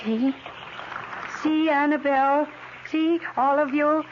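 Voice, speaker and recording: Eccentric radio drama lady cooing at her houseplants, slow n swoopy, super noisy old recording. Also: high voice, steady tone, lo-fi sound. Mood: tender, cooing. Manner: cooing, coaxing, fussing. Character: doting, eccentric wife who talks to her houseplants.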